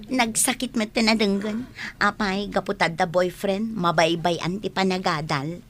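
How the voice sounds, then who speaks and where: A woman's voice: mid-range voice, normal speed, a bit bright, lightly acted. A woman playing a teasing, dramatic young student in a radio drama, with exaggerated delivery. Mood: teasing, mock-hurt. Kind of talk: Filipino radio drama acting.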